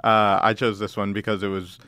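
A man chatting casually as a producer, quick and easygoing, laughing often. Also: lowish voice, little pitch movement, bright, forceful tone, clean tone, no echo. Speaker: associate producer. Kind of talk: casual chat.

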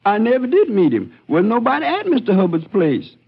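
A high-voiced, earnest little drifter, pleading and eager to be believed. His husky voice swoops up and down in a deferential, folksy way. It sounds like a muffled 1950s radio western. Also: wildly swooping pitch, a little fast, heavily acted. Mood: earnest, defensive. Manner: folksy, deferential, ungrammatical drawling.

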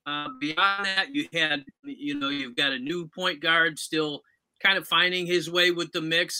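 A man's voice: on the high side, sharp tone, rough recording. A man hosting a sports podcast and asking questions in a conversational interview style.

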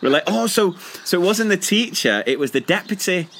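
A British man and teacher co-hosting a casual podcast, chatty and quick to laugh as he reads listener stories. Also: sits high, very animated, fairly bright tone, slight background noise. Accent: British English. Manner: chatty, laughs often, trails off and restarts. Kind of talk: casual podcast chat, reading listener messages.

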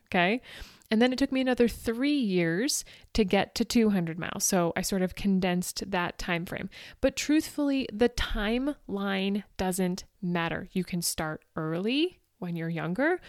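A woman in her forties talks straight to her listeners on a motivational podcast, chatty and upbeat with frequent little laughs. Her voice sits at an ordinary middle pitch. The recording is dry and close, with no room sound. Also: darkish tone, dry recording. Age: 40s. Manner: upbeat, chatty, laughs often, addresses listeners as "you guys". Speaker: podcast host. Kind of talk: motivational solo podcast talk.

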